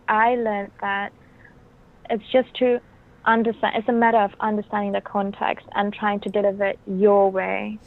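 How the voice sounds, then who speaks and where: A young woman from Brunei with a Bruneian accent, answering interview questions in a soft-spoken, hesitant way with many ums. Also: medium pitch, gravelly. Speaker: actress guest. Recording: tinny phone-like line.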